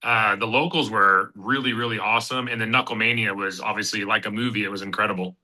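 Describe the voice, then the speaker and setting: A man's voice: lowish voice, steady tone, quick pace, pushed and bright, low-quality recording. A man in his thirties from Kansas City, a fighter chatting casually as an interview guest, rambling with fillers and restarts. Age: 30s. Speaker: guest, bare-knuckle fighter.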